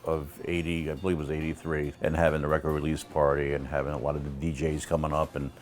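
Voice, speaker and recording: Deep-voiced American man in his sixties. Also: little pitch movement, quick pace. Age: 60s. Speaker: musician in a band. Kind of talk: casual interview reminiscence.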